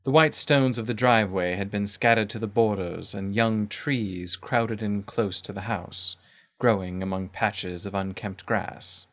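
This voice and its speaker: A man's voice: on the low side, unhurried, a bit bright. A man reading scripted literary narration as a storyteller.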